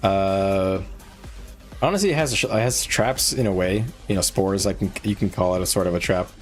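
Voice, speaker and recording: A man's voice: sits low, moderate pace. A man talking informally as a game streamer, thinking aloud as he gives viewers gameplay advice in a conversational way. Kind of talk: casual live-stream chat, giving gameplay advice to viewers. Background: music.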